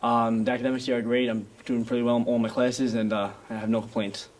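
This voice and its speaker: A man's voice: medium pitch, level tone, fast talker, darkish tone. A young man from Staten Island, New York, a student giving casual interview answers. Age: young adult.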